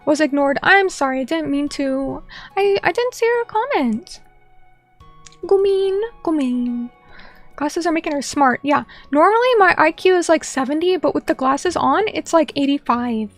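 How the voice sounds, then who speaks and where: Young woman, live-stream chat, bubbly, chatty, high-pitched, faint background music. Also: expressive, normal speed. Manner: bubbly, chatty, apologetic, greets viewers by name. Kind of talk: casual live-stream chat, reading comments.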